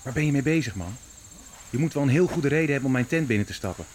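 An actor playing an imperious, suspicious Dutch prince and army commander in a radio play, brusque and challenging. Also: male voice, medium pitch, expressive, fast, a little hiss or hum, natural voice. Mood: irritated, barking.

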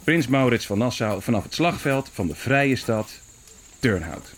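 Man playing a commanding military prince, mid-pitched, animated intonation, dictating, historical audio drama. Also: a little hiss or hum, close to an everyday voice. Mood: self-assured, commanding. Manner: deliberate dictation, repeating words, clipped orders. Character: brisk, authoritative army commander prince dictating dispatches. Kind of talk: historical radio drama acting.

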